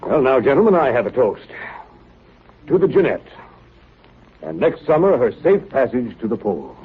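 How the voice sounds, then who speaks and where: An actor playing a reserved, weary captain of an icebound ship, measured and solemn, in 1930s radio drama style. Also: male voice, mid-range voice, big pitch swings, slightly rough. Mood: moved, formal. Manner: slow, measured, deliberate. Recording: tinny, narrow 1930s radio recording.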